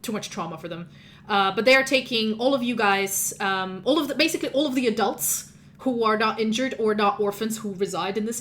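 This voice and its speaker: A woman whose first language is Russian runs a tabletop game session, narrating in a rambling, self-correcting way and laughing at her own slips. Her voice sits at a middle pitch. A faint hiss of background noise runs under her speech. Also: a bit bright. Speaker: game master.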